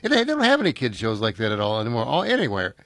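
A man's voice: medium pitch, huge pitch swings, racing, fairly bright tone, dry recording. An older man, hosting a casual chat and reminiscing in a rambling way, full of fillers and restarts.

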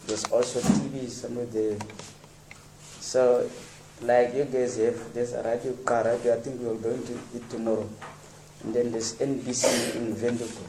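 A man's voice: medium pitch, level tone, moderate pace. Distant, roomy Namibian-born male radio presenter. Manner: encouraging, rambling with many restarts. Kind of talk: informal talk to young people. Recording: roomy, distant, faint background noise.

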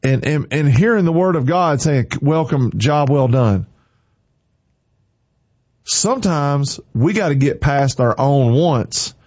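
A man's voice: a little high, expressive, takes it slowly, a little mellow, no room sound. Male morning radio host, preachy and fervent, dry close studio sound. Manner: fervent, preachy, repeats short commands. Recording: dry, slightly muffled studio.

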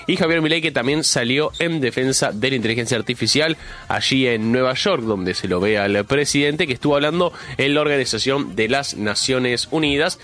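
A man's voice: mid-range voice, moderate pace, fairly bright tone. A man with a Buenos Aires accent hosting live radio, running through the news conversationally with his own opinions, repeating phrases for emphasis, while suffering from a cough and a cold. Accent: Argentine, Buenos Aires. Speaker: radio host. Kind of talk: live radio show opening, news rundown with commentary. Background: music bed.

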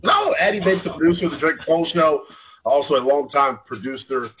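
A man's voice: fairly high pitch, lively intonation, brisk, noisy background. A man in his twenties hosting a sports talk radio show, often rephrasing himself as he goes. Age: 20s.

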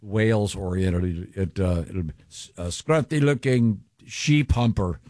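Brief: lower-voiced male radio host, rambling and wry, dry studio sound. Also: measured pace, darkish tone, no room sound. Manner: rambling, hesitant, wry humor. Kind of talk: casual radio talk.

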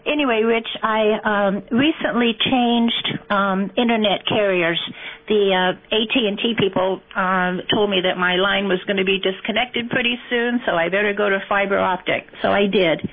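A woman's voice: medium pitch, fairly even intonation, moderate pace. An older woman calling into a radio show, hesitant with many ums as she asks her question. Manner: hesitant, lots of ums, sentimental. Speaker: caller. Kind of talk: phone-in question. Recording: dull lo-fi call audio.

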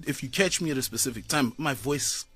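A man's voice: medium pitch, a little fast, fairly bright tone, faint music behind. A man, a voice-over artist chatting casually in a radio studio, laughing often.

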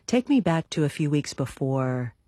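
A woman hosting a radio interview, delivering scripted introductions and questions in a measured, articulate way. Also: sits low, big pitch swings, dark tone, no room sound, studio quality.

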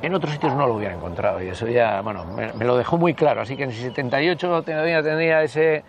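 Noisy street-like recording, spanish guy from the north in his 60s, creaky voice, halting chatty reminiscing in an interview. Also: medium pitch, muffled recording. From Basque Country, Spain. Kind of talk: conversational personal reminiscence.

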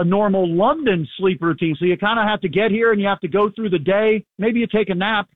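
A hoarse, fairly high-voiced man rambles easily over a tinny phone line. His dry, close sound and scratchy throat make it plain he is calling in from far away. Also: no echo. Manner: rambling, easygoing, many fillers. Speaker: sports reporter calling in. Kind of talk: casual radio phone interview. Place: abroad, away from the studio. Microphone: phone call.

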